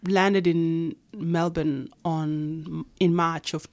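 A woman in her thirties whose first language is Nuer speaks in a somewhat low voice. She answers questions hesitantly, with frequent pauses and restarts as she recalls her past. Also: fairly even intonation, darkish tone. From Kenya. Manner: hesitant, many fillers and restarts. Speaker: guest. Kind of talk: interview conversation.

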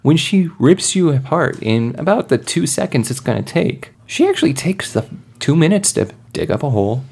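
A man's voice: fairly high pitch, big pitch swings, normal speed, creaky, darkish tone, husky. A man bantering casually as a player in a tabletop role-playing game.

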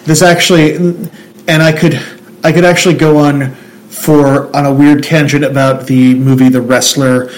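A man's voice: on the high side, little pitch movement, unhurried, poor audio. A man with a Central New Jersey accent, chatting casually and rambling through tangents with many restarts. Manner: rambling, self-interrupting, restarts a lot. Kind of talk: casual chat.

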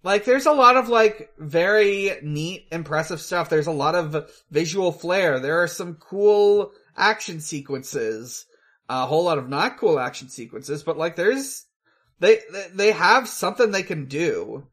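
A podcast host in casual film review chat, with a halting, rambling delivery. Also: mid-range voice, lively intonation, fairly bright tone.

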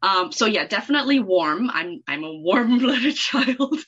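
A woman's voice: mid-range voice, lively intonation, unhurried, fairly bright tone, husky. A middle-aged woman from Germany who grew up abroad, chatting casually as a podcast guest on slightly lo-fi audio. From born in Germany, raised in Kenya and Thailand. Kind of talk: casual animated chat.

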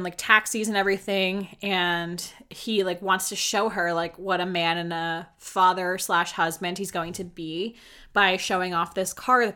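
A woman's voice: medium pitch, bright, forceful tone. A young woman hosting a podcast, recapping a reality show in casual, sarcastic chat, full of filler words and swearing. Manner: chatty, sarcastic, lots of filler likes and swearing. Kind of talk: casual solo commentary.

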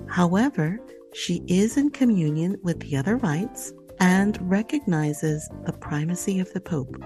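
A woman hosting a podcast, reading a scripted introduction and asking interview questions in a clear, deliberate way. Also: medium pitch, mellow. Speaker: podcast host.

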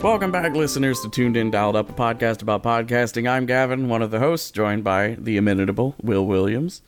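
A man's voice: medium pitch, brisk, smooth. Jokey male podcast host at home. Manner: jokey, playful, rambling asides. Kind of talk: casual podcast chat. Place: living room at home. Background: radio sound effects and music.

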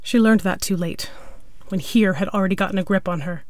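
A woman's voice: mid-range voice, a little fast, dry recording, studio quality. A woman reading a piece of scripted literary fiction as its narrator. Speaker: story narrator.

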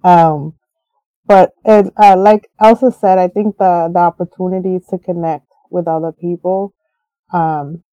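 Very mellow-voiced woman, halting speech. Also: lowish voice, fairly even intonation, dry recording, rough recording. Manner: halting, with frequent fillers and restarts. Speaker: program member guest. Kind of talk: casual conversational interview.

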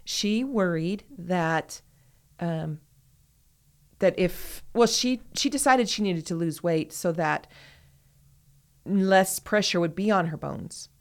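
A woman with a slightly low voice talks casually as a podcast co-host. She is emphatic, repeating words to drive a point home. The delivery is conversational and unscripted. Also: takes it slowly, long pauses.

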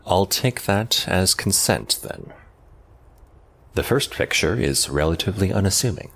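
Very deep, husky, coldly polite man, voiced by an actor. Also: expressive, fairly bright tone, exaggerated voice. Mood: detached, formal. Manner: measured, formal, deliberate. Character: cold, controlling man, verbose and menacingly polite. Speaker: voice actor in a fan dub. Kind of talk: scripted dramatic dialogue and narration.

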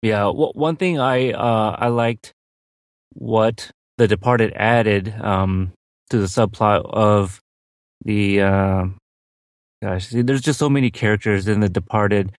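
A man's voice: on the low side, slow, dry recording. A man whose second language is English, co-hosting a casual film discussion and hesitating with many fillers. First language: not English. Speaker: film podcast co-host.

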